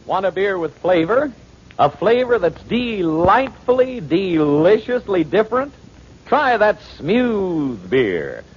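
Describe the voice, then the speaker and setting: A man's voice: sits high, very animated, takes it slowly, husky. A man announcing a commercial in 1940s radio advertising style. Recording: muffled 1940s radio recording.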